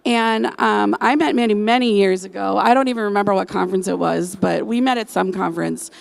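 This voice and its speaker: A woman's voice: medium pitch, a little fast, vocal fry. A woman hosting a conference, introducing the next speaker casually and with enthusiasm. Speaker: conference host.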